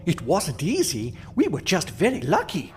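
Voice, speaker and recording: A woman's voice: on the low side, huge pitch swings, normal speed, faint music behind. A woman playing a resourceful airship pilot who survives a zombie outbreak in a cartoon. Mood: earnest recounting. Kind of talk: animated cartoon dialogue.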